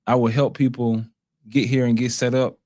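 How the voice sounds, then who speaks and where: A man's voice: mid-range voice, level tone, moderate pace, darkish tone, no room sound, studio quality. A man with an American accent talking earnestly and slangily as a guest in a casual interview. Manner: earnest, slangy, frequently says bro. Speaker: interview guest, content creator.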